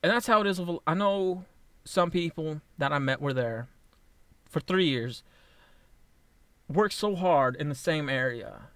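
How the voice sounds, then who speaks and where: A man in his late twenties with a slightly high voice talks straight into the mic in a casual vlog. He rambles, swears bluntly and trips over restarts. The sound is very dry and close, with no room echo. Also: a bit bright. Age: 20s. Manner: halting with frequent restarts. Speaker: vlogger. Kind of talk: casual personal vlog monologue.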